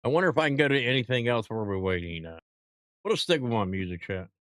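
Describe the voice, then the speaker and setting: A man rambles through a live stream, constantly calling out to chat and guys with playful hype. His voice is bright and sits a bit high, and it swings widely up and down. The sound is dry and close. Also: animated, quick pace, clear, resonant tone, dry recording. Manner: hyped, playful banter.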